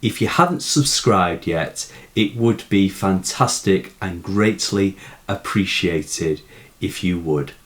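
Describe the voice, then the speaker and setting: A man giving a scripted educational narration on literature, speaking in measured, deliberate phrases. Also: low-pitched. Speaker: literature teacher presenting poem analysis.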